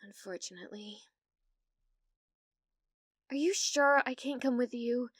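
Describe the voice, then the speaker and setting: A British woman playing a needy, awkward young gamer in an ASMR roleplay, with a halting, nervous manner. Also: fairly high pitch, no echo, studio quality. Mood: wary, determined. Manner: halting, flustered, breathy laughs and hesitations.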